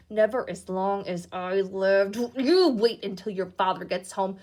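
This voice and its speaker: A woman voicing a furious, scolding middle-aged mother in a read-aloud storybook, loud and drawn out. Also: mid-range voice, natural voice. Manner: shouting, scolding, loud and slow.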